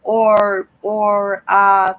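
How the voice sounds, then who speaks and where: An older woman from Canada hosting a livestream, chatting casually with viewers in a hoarse voice, rambling and tagging "right?" onto her sentences. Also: medium pitch, monotone, drawn-out words, low-quality recording. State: hoarse from a sore throat. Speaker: livestream host. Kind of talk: casual live chat to viewers.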